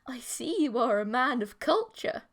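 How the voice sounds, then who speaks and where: Woman playing a high-pitched, pouting monster girlfriend. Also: sing-song, normal speed, fairly bright tone, exaggerated voice. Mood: cheeky. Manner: pouting, wheedling, bossy. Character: coy, clingy, demanding monstrous insect-like girlfriend.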